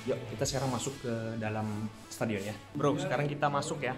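Upbeat man vlogging over loud music. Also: medium pitch, brisk. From Indonesia. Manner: upbeat, slangy, addressing viewers as pals. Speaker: travel vlogger and host. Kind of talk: casual vlog chat to viewers. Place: museum, stadium stands and changing room. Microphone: phone held at arm's length. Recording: loud music over phone vlog audio.

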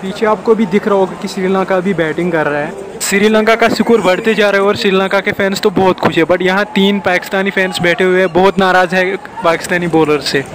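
A man's voice: high voice, fairly even intonation, brisk, hardly any pauses. A young man giving a casual walking-tour narration as a vlogger, filmed outdoors on a handheld phone. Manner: walking tour commentary, pointing things out. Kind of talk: casual travel vlog narration. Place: outdoors, metro station and stadium. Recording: loud street and crowd noise.

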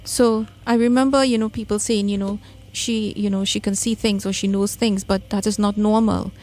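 A woman's voice: mid-range voice, flat delivery, normal speed, darkish tone. A woman with a Trinidadian accent, telling personal stories as a guest in a radio studio interview. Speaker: radio guest, spiritual medium. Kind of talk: conversational interview, personal storytelling. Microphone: studio microphone.

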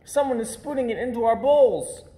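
A man voicing curious, puzzled little puppies from a storybook, with wondering, drawn-out questions. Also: very high voice, warm tone, echoey room, voice pushed up. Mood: excited.

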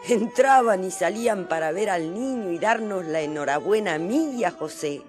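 A woman's voice: mid-range voice, expressive, normal speed, a little mellow, natural voice. An actress playing a mother who recalls her son's birth, narrating in a radio drama. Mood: tender reminiscing. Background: violin music.

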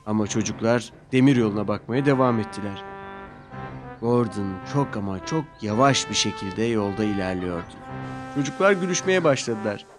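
Male storyteller, children's cartoon narration, animated voice, faint music behind. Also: fairly low pitch, moderate pace. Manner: lively storytelling.

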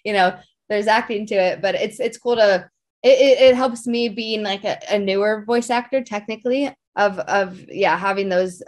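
A woman talks as a guest in a casual interview. Her pitch sits in the middle, and her phrasing is informal and loose, full of small filler words. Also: moderate pace. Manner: informal, loose phrasing peppered with like and you know.

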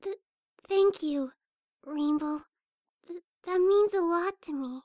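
A timid young mare played by a woman with a very high, soft voice. She is gentle and nervous, stammering into her words in a hobby audio drama. The recording is dry and close. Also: slow pace, no echo. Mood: touched, happy. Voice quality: soft, quiet. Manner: hesitant, stammering. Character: shy, gentle, nervous young female pony. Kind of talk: amateur audio drama acting.

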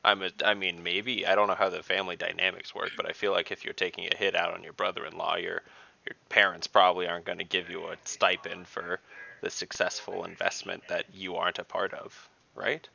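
A man with a low, heavily creaky voice talks through a game casually. He has a bright, forceful edge and thinks aloud in a halting way, through dull call audio. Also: little pitch movement. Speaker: tabletop game player. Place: indoor room, video call.

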